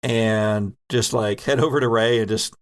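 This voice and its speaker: Male game master, lower voice, descriptive improvised narration delivered in pieced-together phrases. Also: fairly even intonation, measured pace, clean tone.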